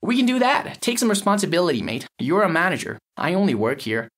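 Man playing a cynical, higher-pitched lab technician. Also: sing-song, quick pace, fairly bright tone, exaggerated voice. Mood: blunt, dry. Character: cynical, blunt male lab technician, slick explainer. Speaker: audio story character, fertility lab technician. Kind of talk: acted dialogue in an audio short story.

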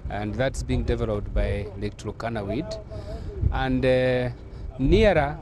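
A middle-aged man from Kenya, an energy official, speaking formally outdoors with frequent pauses. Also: mid-range voice. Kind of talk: outdoor news interview. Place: outdoors at a wind farm.

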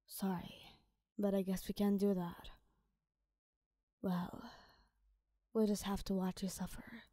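Woman doing a cruel male villain, sneering whisper, Arabic-tinged accent, dark tone. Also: medium pitch, flat delivery, slow, no echo, studio quality. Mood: mocking. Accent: Arabic-tinged English.

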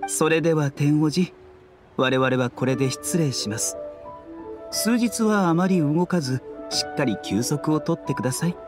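An actor playing a composed, reassuring physician in a game, speaking politely and with measured formality. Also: male voice, a little high, over music, close to an everyday voice. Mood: reassuring, clinical. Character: courteous, soft-mannered young male physician, reassuring and composed. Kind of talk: Japanese game voice acting.

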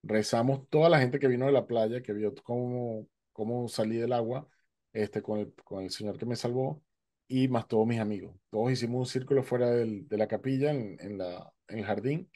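Man, 40s, testimony speaker, warm low timbre, dry headset sound, voices the people in his story. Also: moderate pace, no echo. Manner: vivid storyteller, acting out dialogue. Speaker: guest speaker. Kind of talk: personal testimony. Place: home, indoors, virtual background.

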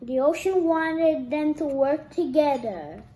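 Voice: sits high, animated, takes it slowly, mellow. A young-sounding reader reading a storybook aloud carefully, restarting phrases after stumbles, in an echoey room.

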